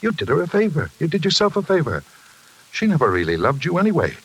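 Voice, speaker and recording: A man's voice: fairly high pitch, wildly swooping pitch, quick pace, slightly rough, exaggerated voice. An actor playing a folksy middle-aged American railroad man who justifies himself talkatively, in old-time radio drama style. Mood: defiant, confessing. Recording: noisy, hissy vintage radio broadcast.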